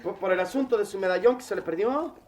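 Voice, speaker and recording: An actor playing a mischievous, good-hearted skinny young pal in a radio drama, colloquial and frank, with a Mexican accent. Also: male voice, high-pitched, fast, darkish tone, a little hiss or hum, lightly acted. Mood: curious, hearty.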